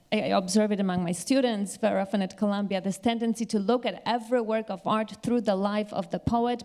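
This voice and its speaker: A woman's voice: mid-range voice, a little mellow. Woman academic in a reverberant hall. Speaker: university teacher on a panel. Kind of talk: unscripted academic discussion.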